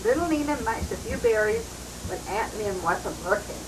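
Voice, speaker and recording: A woman's voice: fairly high pitch, huge pitch swings, normal speed. An older woman, a grandmother, reading a storybook aloud to children on a noisy old home recording. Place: at a family Christmas party.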